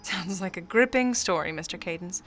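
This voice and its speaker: An actress playing a cunning, sardonic 1940s socialite film star in a noir radio play, with haughty, formal diction. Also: female voice, fairly low pitch, normal speed, a bit bright, soft background music, lightly acted. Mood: coolly amused.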